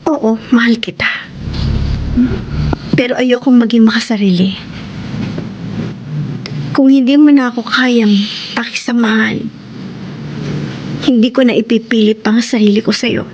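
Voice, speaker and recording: A woman's voice: a little high, fairly even intonation, measured pace, long pauses, muffled recording, background noise, natural voice. An actress playing a heartbroken, self-sacrificing wife in her thirties in a Filipino radio drama, with an earnest, tender delivery. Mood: sorrowful, resigned. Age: 30s. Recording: music under the voice.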